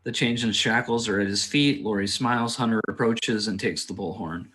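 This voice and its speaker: A man's voice: on the low side, normal speed, a bit bright. A man narrating stage directions from a script at a table read.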